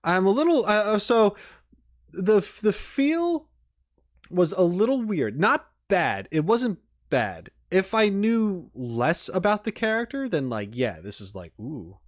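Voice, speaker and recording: A man's voice: high-pitched, big pitch swings, unhurried, no echo, clean studio sound. A man doing casual, off-the-cuff reaction commentary, rambling and repeating words as he thinks aloud. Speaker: YouTube reaction host.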